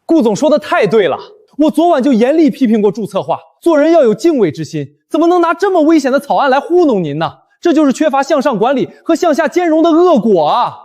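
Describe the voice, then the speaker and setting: Actor as a shrill fawning male middle manager. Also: very animated, fast talker, a little mellow. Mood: fawning, indignant. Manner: obsequious, exaggerated, scolding. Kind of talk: animated web drama dialogue.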